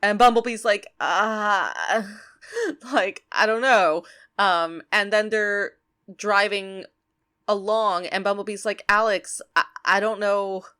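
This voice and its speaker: A woman co-hosting a casual podcast, recapping a cartoon episode with a bright, clear tone. She talks in an animated way, laughs often, and slips into quick voices for the characters. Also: medium pitch. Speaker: podcast co-host.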